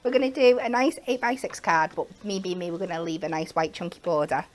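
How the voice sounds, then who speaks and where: A woman with a croaky voice, chatting and joking as she demonstrates card making on a craft shopping show. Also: lowish voice, quick pace, noisy background. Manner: chatty, playful asides while working. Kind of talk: live shopping channel craft demo.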